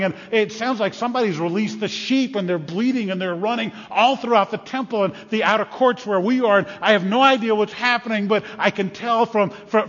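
Man acting out a high-pitched excitable blind beggar, echoey hall. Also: close to an everyday voice. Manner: halting, repeating, dramatic swings from hushed to shouting. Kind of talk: first-person dramatic monologue, live storytelling. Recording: echoey large hall, slightly muffled.

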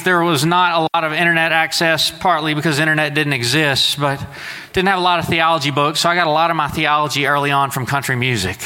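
A man from Southeast Texas in his forties preaches to his congregation, and he talks in a folksy, conversational way with casual asides. His voice sits a little high and sounds bright. It rings out with the long reverb of a church sanctuary. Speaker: pastor. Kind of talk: sermon.

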